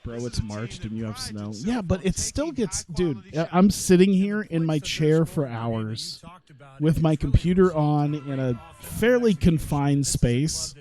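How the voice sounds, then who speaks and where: A man's voice: a little high, very animated, unhurried, dark tone. Stuffy-nosed man rambling over a game. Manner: rambling, chatty, complains with frequent fillers. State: stuffed up from sinuses. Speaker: gaming YouTuber. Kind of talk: casual gameplay commentary. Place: home room at his computer.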